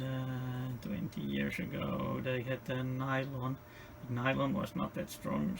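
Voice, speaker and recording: Male tutorial host, strongly gravelly creak, halting step-by-step technical delivery, noisy home-made sound. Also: mid-range voice. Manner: halting, piecemeal explaining with repeated phrases. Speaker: radio repair tutorial host. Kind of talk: unscripted hands-on technical demonstration.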